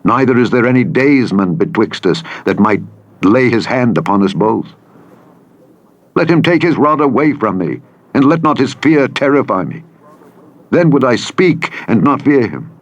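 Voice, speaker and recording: A man narrating scripture from a script in a solemn, measured, formal delivery. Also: mid-range voice, very animated, dull audio. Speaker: audiobook Bible narrator. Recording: engine and vehicle noise over playback. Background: truck simulator engine noise.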